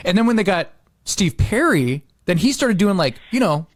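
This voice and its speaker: A man with a slightly high-pitched voice, recorded dry with no room sound. He chats casually as on a podcast, telling stories in an informal way and slipping into quoted dialogue. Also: big pitch swings, moderate pace, dry recording. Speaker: podcast host.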